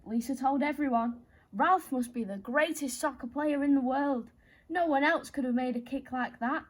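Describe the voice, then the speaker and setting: A woman's voice: high-pitched, moderate pace. A woman reading a story aloud to children in a playful, theatrical way, speaking directly to her young listeners.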